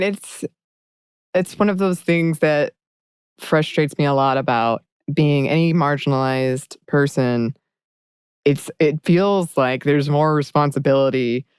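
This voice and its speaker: A woman podcast co-host talking thoughtfully in a casual conversation, speaking in quick bursts with hesitant fillers. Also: a bass voice, a little mellow, smooth, no echo, studio quality.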